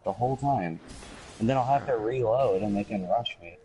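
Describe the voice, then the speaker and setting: A man's voice: lowish voice, moderate pace, dark tone, slight background noise, low-quality recording. A man on a headset mic streaming a team shooter, talking casually and explaining tactics to his squad. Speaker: gamer streaming with teammates. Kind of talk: casual game chat and callouts.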